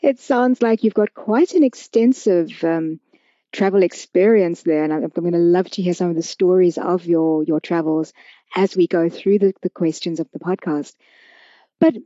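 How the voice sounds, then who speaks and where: A woman's voice: fairly low pitch, animated, moderate pace, dark tone, no room sound, muffled recording. A woman hosting a podcast, asking questions in a conversational interview style. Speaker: podcast host.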